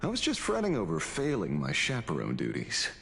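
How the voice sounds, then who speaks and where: A man voicing a sly retired ranger turned detective in a video game, wry and theatrical with unhurried confidence. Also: low-pitched, huge pitch swings, vocal fry, fairly bright tone, exaggerated voice. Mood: wry, casual. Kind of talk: dramatic video game voice acting.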